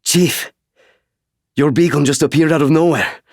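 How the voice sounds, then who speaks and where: A man's voice: a little high, measured pace, no echo, studio quality, voice pushed up. A man voicing a loyal military pilot calling over comms in an audiobook, with an urgent delivery. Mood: relieved, anxious. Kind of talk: audiobook character voice.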